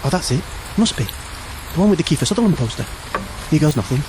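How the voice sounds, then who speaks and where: A man's voice: a little high, sing-song, fairly quick. A man voicing an awkward, insecure young man who hesitates and stammers, over music. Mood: unsure. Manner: hesitant, stammering, self-deprecating.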